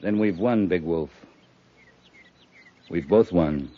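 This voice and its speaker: An actor playing a steady, reasoning cavalry captain in a 1950s radio western, speaking in a measured, earnest way. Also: male voice, sits low. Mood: earnest, solemn. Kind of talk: 1950s radio drama acting. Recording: dull 1950s radio recording.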